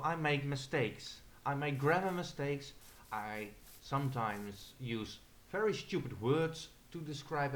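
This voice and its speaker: A man's voice: mid-range voice, a bit bright, slight background noise. A young Dutch man with an accent, hesitantly narrating a tour of his game server with many fillers. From Netherlands. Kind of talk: casual screen-recorded walkthrough.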